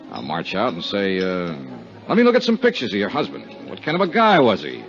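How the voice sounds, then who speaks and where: An actor playing a world-weary insurance investigator in a 1950s radio drama, blunt, wry and clipped. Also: male voice, fairly low pitch, huge pitch swings, brisk. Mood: sour, irritated. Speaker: lead actor. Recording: dull 1950s radio recording, music behind.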